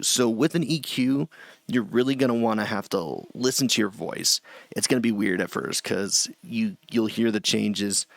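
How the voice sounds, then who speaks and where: A middle-aged man with a low voice gives a loose, chatty screen-share tutorial from a small home room, often restarting his sentences. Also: creaky, a bit bright, no room sound, clean studio sound. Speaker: streamer teaching audio setup.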